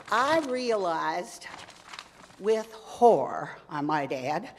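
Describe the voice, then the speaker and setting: A woman doing a folksy church lady who drawls and teases as she hands out gifts, chatty and full of fun. Her voice sits at a middling pitch. It rings out in a reverberant church hall. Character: folksy Texan church lady, gift-giving and teasing. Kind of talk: comedy skit performance. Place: church sanctuary.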